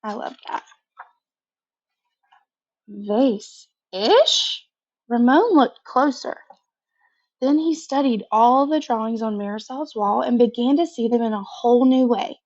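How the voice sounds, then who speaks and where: A woman reading a picture book aloud to children in an expressive, conversational way, pausing for casual asides of her own. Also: a little high, muffled recording. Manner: expressive, conversational, pauses to comment.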